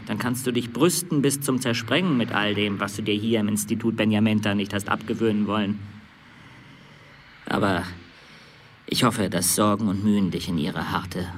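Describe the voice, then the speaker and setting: An actor playing an earnest, stern and dutiful pupil in a literary radio drama, speaking in solemn, measured, formal declamation. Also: male voice, on the low side, a little hiss or hum, close to an everyday voice. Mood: stern admonishing.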